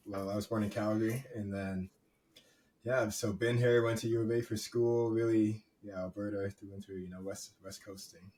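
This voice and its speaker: A man, a guest in a casual interview, talking in a relaxed, rambling way with many fillers. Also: fairly low pitch, moderate pace. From Canada. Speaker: guest, music executive. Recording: distant mic with faint background noise.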